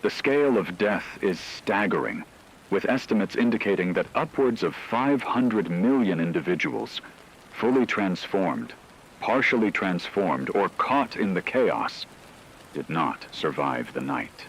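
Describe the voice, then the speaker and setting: A man's voice: lowish voice, expressive, measured pace, vocal fry, slight background noise, poor audio. A man reading a scripted emergency radio broadcast as a newscaster, in a grave, solemn manner. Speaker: radio newscaster in a horror story.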